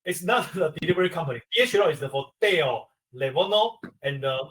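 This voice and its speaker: A man's voice: a little high, lively intonation, fairly bright tone. Taiwanese man, distant from the mic. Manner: explaining step by step in halting English. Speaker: industry expert guest. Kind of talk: webinar answer to a question.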